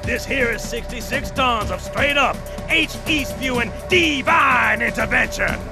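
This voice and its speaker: A man's voice: extremely high pitch, a little slow, vocal fry, music in the background, exaggerated voice. An actor playing a cocky, fatherly military sergeant with swaggering bravado in a video game cutscene.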